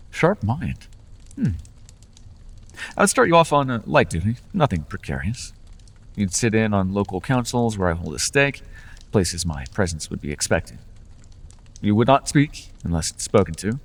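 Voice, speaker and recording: A man's voice: fairly low pitch, in a large hall. A man voicing a playful male fae patron in an ASMR fantasy roleplay, talking in a charming, teasing, conversational way with small restarts.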